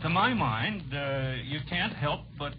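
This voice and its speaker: A man's voice: on the high side, animated, unhurried. An American man arguing earnestly as a panelist in a 1940s radio political debate. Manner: earnest, argumentative. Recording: noisy, muffled 1940s radio recording.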